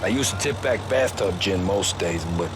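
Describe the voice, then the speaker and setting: An actor voicing a modest, plain-spoken American cab driver in a gangster video game, polite and understated. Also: male voice, low voice, normal speed, close to an everyday voice. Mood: calm. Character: modest, easygoing cab driver newly working for mobsters. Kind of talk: video game dialogue acting. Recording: loud car engine noise.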